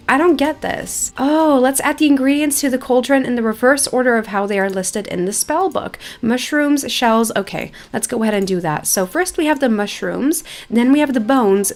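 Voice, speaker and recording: A woman's voice: on the high side, normal speed, hardly any pauses. A woman giving casual, chatty, self-deprecating gameplay commentary as a video host, sometimes reading quest text aloud.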